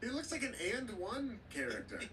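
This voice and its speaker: A man's voice: very high-pitched, wildly swooping pitch, moderate pace. A man hosting a podcast, joking and teasing in casual chat. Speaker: podcast host. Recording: distant mic, noisy background.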